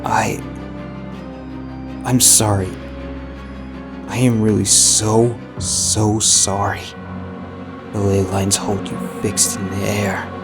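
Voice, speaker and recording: A man narrating fan fiction in the first person as an anguished, guilt-ridden living book, measured, halting and melodramatic. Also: fairly low pitch, level tone, music in the background. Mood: remorseful.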